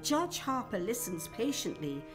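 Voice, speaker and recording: A woman's voice: fairly high pitch, big pitch swings, unhurried. A woman reading scripted announcements and narration for a radio play. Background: music.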